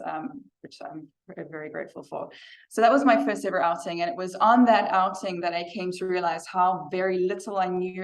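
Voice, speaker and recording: A woman's voice: fairly low pitch, poor audio. A woman from Africa, giving a chatty, self-deprecating club presentation in a meeting room. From South Africa. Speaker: guest presenter. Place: meeting room with a hybrid video call.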